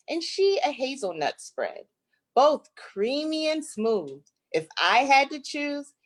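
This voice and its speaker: A woman from Michigan, a guest author, reading a picture book aloud to children in an expressive, drawn-out way. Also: medium pitch. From Flint, Michigan, United States.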